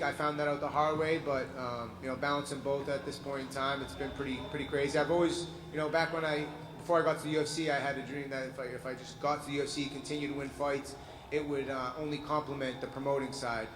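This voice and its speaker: A man from New England fields reporters' questions quickly and casually. He swears freely and keeps tossing in "man." The recording is echoey, with loud noise behind him. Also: medium pitch, steady tone. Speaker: fighter and promoter. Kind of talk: press scrum answers.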